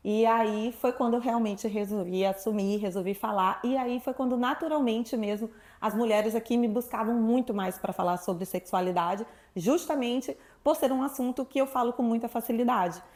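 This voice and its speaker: A woman from Salvador speaking, her voice sitting a little high. She talks freely and expressively, an easy storyteller chatting as an interview guest. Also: moderate pace, hardly any pauses, a little mellow. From Salvador, Brazil. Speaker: guest, sexuality professional. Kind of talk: casual interview chat.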